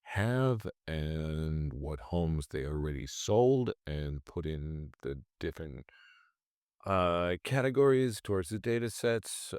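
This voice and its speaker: A man's voice: deep voice, darkish tone, smooth, no room sound, studio quality. A man hosting a stock trading channel, rambling through his trades and correcting himself as he goes, a bit congested from a cold. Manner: rambling, self-correcting, thinks aloud. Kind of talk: casual screen-share commentary on trades.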